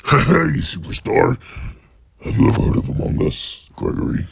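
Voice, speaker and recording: A man puts on a big, friendly robot bear for a comic dub. The delivery is that of an upbeat, fatherly showman, with lively pitch movement and a slightly creaky, husky edge. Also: moderate pace, full character voice. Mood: cheerful.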